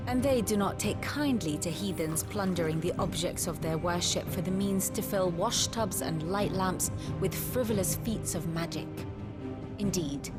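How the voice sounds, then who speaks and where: Loud music runs beneath an actress playing a poised woman scholar in a fantasy game. Her middle-pitched voice is measured and erudite, lecturing with a dry, wry touch. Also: natural voice. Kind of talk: expository fantasy game acting.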